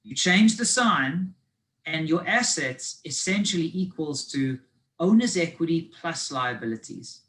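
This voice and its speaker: A man with a South African accent giving a live online presentation on business finance. He explains in a conversational way and fills pauses with ums and restarts. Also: on the high side, lively intonation, fairly bright tone, a little hiss or hum.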